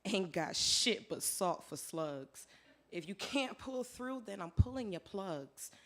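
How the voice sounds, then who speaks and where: A hoarse woman performing her spoken word poetry live, in a rhythmic rhyming delivery that swings between soft lines and loud declarations. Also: medium pitch, expressive, takes it slowly. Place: live venue with audience.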